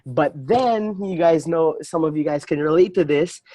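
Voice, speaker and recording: A man in his twenties from the Philippines, a podcast guest telling his story in lively casual talk full of fillers and restarts. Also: fairly high pitch, normal speed, dry recording. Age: 20s. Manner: energetic, many fillers and restarts, laughs often. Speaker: podcast guest, digital marketer. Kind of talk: casual interview chat.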